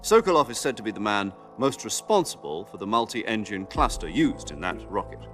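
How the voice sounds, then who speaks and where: Animated, authoritative male commander. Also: fairly low pitch, brisk, soft background music. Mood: measured briefing. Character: seasoned male military commander, stern and authoritative. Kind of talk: cinematic video game voice acting.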